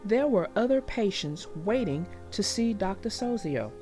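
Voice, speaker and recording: A woman's voice: mid-range voice, animated, unhurried, mellow, faint music behind. A woman reading aloud for children as a storyteller, chatting directly to her young listeners in a conversational way.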